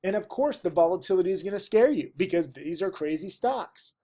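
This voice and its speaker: A man's voice: sits high, expressive, fairly quick, mellow. A man teaching his students, stuffy and losing his voice, pleading with them to study. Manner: emphatic, pleading. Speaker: trading teacher. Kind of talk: direct-to-camera lesson.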